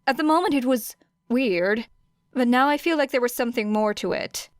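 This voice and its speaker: A woman's voice: fairly high pitch, normal speed, clean tone, no echo, clean studio sound, voice pushed up, natural voice. A woman voicing a stern, commanding female hero in an audiobook, with a harsh, authoritative manner. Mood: grudging, uneasy. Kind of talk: audiobook character acting.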